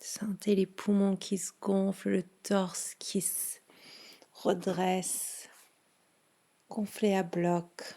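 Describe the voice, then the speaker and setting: A woman leading a guided yoga nidra relaxation in a soothing, hushed manner with long pauses. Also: medium pitch, level tone.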